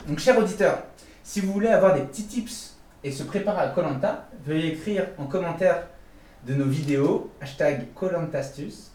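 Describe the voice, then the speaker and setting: A man telling comic stories on a podcast with a light, fairly high voice. He jokes at his own expense and laughs a lot. The room sounds echoey, and he sits back from the mic. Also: normal speed, a little mellow, distant mic. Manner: jokey, self-mocking, laughs often. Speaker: podcast co-host. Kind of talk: casual comic storytelling.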